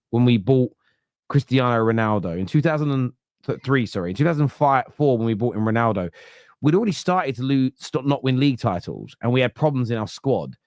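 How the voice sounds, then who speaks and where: A man hosting a football podcast, casual chat that keeps correcting itself and counting stats out loud. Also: medium pitch, dry recording, clean studio sound. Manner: rapid, self-correcting, counts stats aloud. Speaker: podcast host.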